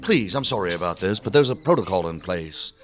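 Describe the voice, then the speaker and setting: An actor playing a nervous, apologetic rookie security officer in a science fiction audio drama, flustered and deferential. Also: male voice, sits low, expressive, fairly quick, faint music behind.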